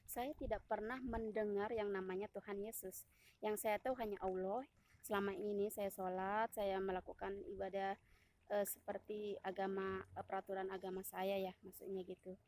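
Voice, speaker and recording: A woman in her thirties from Indonesia, hosting her own channel and telling a personal testimony in a casual, halting way, with many fillers and self-corrections as she speaks to viewers. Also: medium pitch, flat delivery, darkish tone. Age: 30s.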